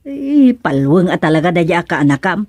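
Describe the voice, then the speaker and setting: A woman with an Ilocano accent playing a chiding, gossipy village woman in a radio comedy drama, with a lively, nagging way of talking. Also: on the low side, moderate pace, dry recording.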